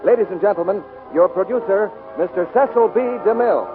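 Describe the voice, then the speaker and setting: Male announcer, 1940s radio style, high pitch, tinny narrow recording, clear music behind. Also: a little fast.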